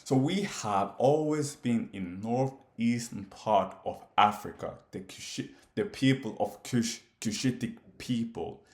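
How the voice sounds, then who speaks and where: A man's voice: mid-range voice, measured pace, few pauses, a little mellow, roomy sound. A Somali man, whose first language is Somali, speaking straight to the camera in a challenging, questioning way, pressing his points with emphasis. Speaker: commentator. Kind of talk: direct-address monologue.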